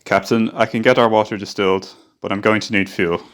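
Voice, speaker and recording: A man's voice: lowish voice, steady tone, brisk, fairly bright tone, smooth, close to an everyday voice. A man voicing a practical, earnest chief engineer in a radio play who also narrates and soothes the crew. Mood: plain. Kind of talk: amateur radio drama acting.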